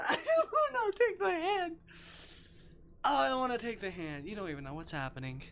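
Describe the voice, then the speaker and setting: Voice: medium pitch, sing-song, fairly quick, a bit bright, slight background noise. A game streamer commentating on a visual novel live, swinging expressively between muttering and exclaiming. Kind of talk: gaming livestream commentary, reading game text aloud. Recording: music behind the voice.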